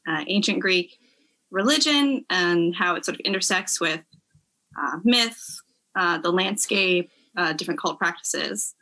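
A woman's voice: fairly low pitch, pushed and bright, poor audio. A young woman as a podcast guest, talking casually about herself with frequent hesitations. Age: young adult. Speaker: podcast guest, graduate student. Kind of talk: casual self-introduction.

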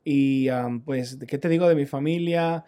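Male pastor, mellow tone, unhurried and thoughtful, lots of fillers. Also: medium pitch. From Puerto Rico. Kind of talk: casual podcast conversation.

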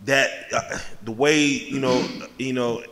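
A man's voice: medium pitch, steady tone, takes it slowly, fairly bright tone, a little hiss or hum. A man hosting a casual interview, asking long, rambling questions full of fillers. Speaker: podcast host. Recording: long reverb in a room.

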